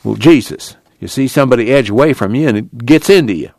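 A man voicing a bitter, self-pitying one-eyed junkyard worker with rough, plaintive country speech in an audiobook. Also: mid-range voice, lively intonation, fast talker, a little mellow, slight background noise. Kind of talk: acted audiobook dialogue.

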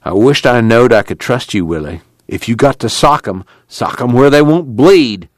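Man acting worried folksy camp leader, swooping pitch. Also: animated, normal speed, dry recording, close to an everyday voice. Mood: wary. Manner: earnest, folksy rural speech. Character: plain-spoken, worried male migrant camp committee leader.

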